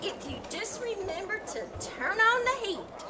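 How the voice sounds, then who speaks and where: A squeaky-high, cutesy teenage girl plays the glamour expert in a live 1940s-style radio comedy. Her emphatic lines swoop up and down. The theater recording is clearly noisy. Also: sing-song, unhurried, full character voice. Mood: coy, instructive.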